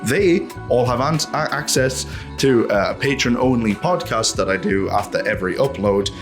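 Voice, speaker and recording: A man giving a casual, opinionated video essay in an animated, ranting manner, full of rhetorical questions and swearing. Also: medium pitch, normal speed, over music. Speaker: host of a video essay channel.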